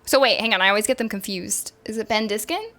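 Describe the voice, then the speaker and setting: A woman doing casual live gameplay commentary as a streamer, playful and excitable in her reactions. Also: mid-range voice, fast. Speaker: game streamer.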